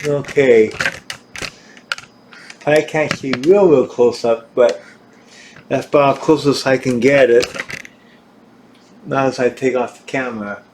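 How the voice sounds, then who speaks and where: A man talks very slowly through an informal equipment demonstration. He pauses often, searches for words and repeats phrases. There is clear background noise around his mid-pitched voice. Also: steady tone. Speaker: gear demonstrator.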